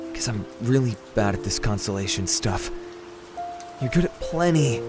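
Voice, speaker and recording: An actor playing a blunt, loyal, impatient young brother in an audio drama, consoling someone in a casual, awkward way. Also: male voice, mid-range voice, natural voice. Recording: loud music and rain behind. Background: music and falling rain.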